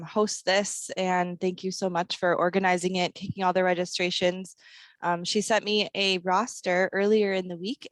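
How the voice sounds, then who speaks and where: A woman's voice: medium pitch, dry recording. A woman in her thirties with a froggy, scratchy voice from a cold, presenting a webinar over a video call with plenty of ums. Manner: friendly, many ums. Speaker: airport district office manager presenting a webinar. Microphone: computer mic on a video call.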